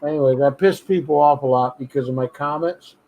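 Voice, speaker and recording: A man's voice: fairly high pitch, a little fast, darkish tone, poor audio. A man from New York hosting a live stream in casual chat, blunt and jokey with profanity.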